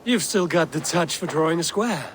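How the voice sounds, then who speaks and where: An actor playing a confident, sardonic Viking raider and mentor in a video game, wry and assured. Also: male voice, a little high, animated, normal speed, a little hiss or hum, lightly acted. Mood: stern. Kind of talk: dramatic video game acting.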